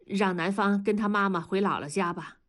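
A woman's voice: mid-range voice, moderate pace, fairly bright tone, lightly acted. A woman voicing an exasperated middle-aged husband. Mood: placating.

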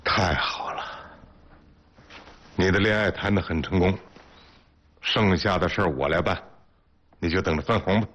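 Husky, low-voiced spy chief. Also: male voice, normal speed, pauses often, fairly bright tone. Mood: cool, calculating. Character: shrewd, commanding male intelligence station chief. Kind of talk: naturalistic TV drama acting. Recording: muffled TV soundtrack.

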